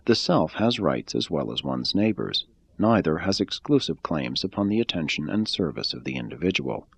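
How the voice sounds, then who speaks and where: A man reading a religious text aloud in a measured, even-paced scripted style. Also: low-pitched, creaky, breathy edge, no room sound. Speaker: reader.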